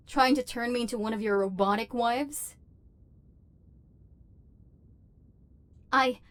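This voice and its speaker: A haughty female robot overlord, acted by a woman for an ASMR roleplay. Her voice sits fairly high. She speaks in formal, clipped, machine-like phrases, with a commanding, human-hating villain's temper. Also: no room sound, close to an everyday voice. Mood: guarded, dismissive.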